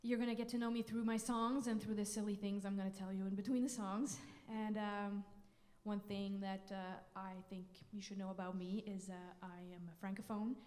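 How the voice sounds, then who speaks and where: A woman's voice: medium pitch, level tone, moderate pace, hardly any pauses, a little mellow. A young French Canadian woman, a native French speaker, talking casually and with lots of fillers to the audience between songs from a concert stage. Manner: chatty, giggly, lots of fillers. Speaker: singer-songwriter. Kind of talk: onstage banter between songs. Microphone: stage vocal mic. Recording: live hall reverb.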